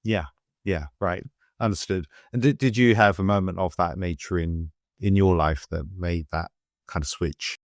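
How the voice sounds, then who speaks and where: A middle-aged British man hosting a podcast interview, soft-spoken and hesitant, with many fillers and restarts. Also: sits low, fairly even intonation, normal speed, dry recording, studio quality. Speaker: podcast host. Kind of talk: casual interview chat.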